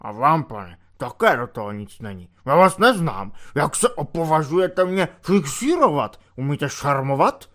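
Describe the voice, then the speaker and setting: A man's voice: a little high, very animated, hardly any pauses, fairly bright tone. A man reading an audiobook voices a drunk, maudlin middle-aged army chaplain who rambles, whines and pleads. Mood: belligerent. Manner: slurred, whining, rambling drunk speech. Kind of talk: audiobook character voice.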